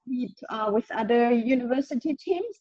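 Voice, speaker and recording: A woman's voice: a little high, lively intonation, moderate pace, no room sound. German-accented woman, dull dry audio. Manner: hesitant, many restarts. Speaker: guest engineer. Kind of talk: casual interview answers.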